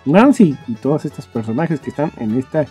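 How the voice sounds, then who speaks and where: A man's voice: fairly high pitch, very animated, brisk, mellow, breathy edge. A man giving a casual, rambling introduction as a podcast host. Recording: faint music behind. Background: faint music.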